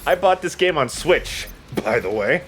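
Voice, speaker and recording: A man's voice: on the high side, lively intonation, a little slow, a bit bright, faint music behind. A man chatting casually as he commentates on his gameplay, in an informal, self-deprecating way. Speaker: let's player playing and commentating. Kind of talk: casual gaming commentary chat.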